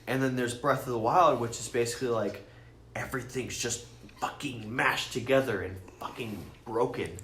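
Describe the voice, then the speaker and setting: A man's voice: mid-range voice, normal speed, a bit bright. A man co-hosting a podcast, explaining things in a casual, enthusiastic, geeky and sweary ramble. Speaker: podcast co-host. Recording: roomy echo with faint noise.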